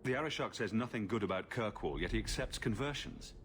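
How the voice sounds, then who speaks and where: A fairly low male voice plays a steady fantasy hero in a game cutscene. He is dry, direct and brief, with faint noise behind him. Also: a little fast. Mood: dry, probing. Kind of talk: video game cutscene acting.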